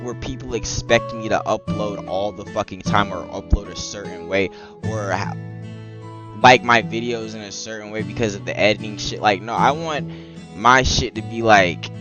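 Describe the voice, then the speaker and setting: Low-voiced man streaming over guitar music. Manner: slangy, profane, casual. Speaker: streamer playing and reading a visual novel. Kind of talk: casual let's play commentary and read-aloud narration. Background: plucked guitar game music.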